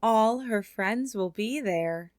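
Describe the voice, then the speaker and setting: A woman reading a children's picture book aloud in an expressive way, slowing down for the closing lines. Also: fairly high pitch, slight background noise. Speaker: storybook reader. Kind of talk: children's read-aloud narration.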